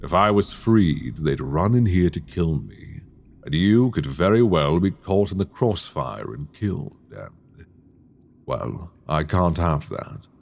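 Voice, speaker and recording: Guy acting as a crazy chained dragon king, asmr roleplay, slow drawn-out talk that swoops around, seductive n possessive, close mic. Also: deep voice, big pitch swings, gravelly, heavily acted. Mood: tender, possessive. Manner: theatrical, teasing, drawn-out delivery with soft asides. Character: mad imprisoned male dragon king, seductive, obsessive, menacing. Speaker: audio roleplay voice actor. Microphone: close binaural microphone.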